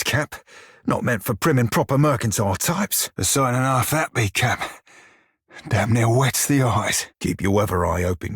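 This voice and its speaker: Game role: roguish furry sailor, husky growl, bawdy and sardonic, mid pitch, close dry sound. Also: male voice, fairly bright tone, no echo, heavily acted. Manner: salty, colloquial pirate talk, wry and crude. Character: roguish, bawdy, sardonic blue-furred pirate sailor creature. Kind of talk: video game character dialogue and barks.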